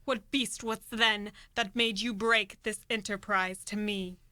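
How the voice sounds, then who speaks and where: A young woman plays an ambitious, merciless noblewoman in a Shakespeare radio drama. The voice is bright, hard-edged and mid-pitched, declaiming verse with emphasis. The recording is dry. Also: steady tone, measured pace, dry recording. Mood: fierce, goading. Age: young adult. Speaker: student actor. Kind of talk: amateur Shakespearean radio play acting.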